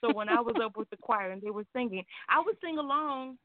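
A woman's voice: on the high side, expressive, quick pace, no echo. A woman calling in as a poet, bantering casually in a wry, theatrical way with emphatic repetition. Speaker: caller and poet. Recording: narrow, dry phone line.

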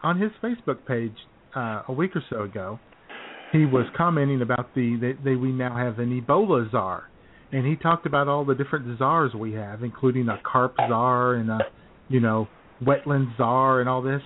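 A man co-hosting a political talk radio show, chatty and wry, with a dry laugh never far off. His voice sits at an ordinary middle pitch. It comes through thin and tinny, like a phone line. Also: moderate pace, noisy background.

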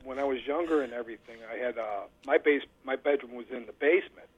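A man's voice: mid-range voice, quick pace, lo-fi sound, over a noisy crowd. A middle-aged man calling in to a radio show, chatting informally and colloquially over a call-in line. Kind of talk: casual nostalgic chat.

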